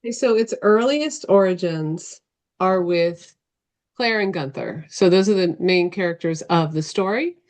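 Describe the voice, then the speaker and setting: A woman's voice: fairly low pitch, measured pace, a little mellow. A middle-aged woman answering interview questions casually on a video call, loosely structured and often correcting herself as she explains. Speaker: interview guest, novelist. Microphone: video call microphone.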